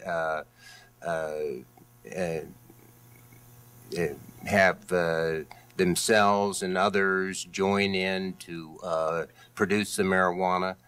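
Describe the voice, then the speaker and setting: A man speaking as a candidate in a political debate, with a halting delivery full of hesitations. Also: sits low, fairly bright tone, smooth. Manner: halting, hesitant delivery with many long pauses. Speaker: candidate for district attorney in a debate.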